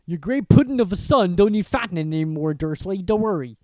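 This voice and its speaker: A reader voicing a huge, hot-tempered male giant from a storybook, who talks in a loud, gruff rural dialect. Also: mid-range voice, expressive, brisk, no echo, natural voice. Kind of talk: audiobook character reading.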